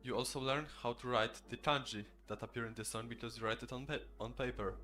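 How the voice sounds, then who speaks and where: A man's voice: mid-range voice, steady tone, fast talker, sharp tone, faint music behind. Earnest male language tutor, Polish native. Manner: earnest, instructive. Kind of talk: tutorial video.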